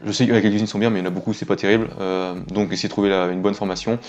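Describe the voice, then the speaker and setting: A man's voice: fairly low pitch, level tone, very fast, darkish tone, lo-fi sound. A man in his twenties chatting casually as an interview guest, informal and rambling. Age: 20s. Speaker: interviewed web entrepreneur. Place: small indoor room with wooden ceiling.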